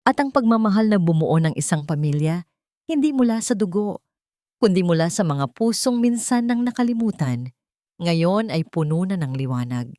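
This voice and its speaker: A woman storyteller reads her own romance story in a gentle, measured, heartfelt way. Her voice sits slightly low. The sound is dry and close, with no room echo. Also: lively intonation. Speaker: storyteller and writer. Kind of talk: scripted story narration.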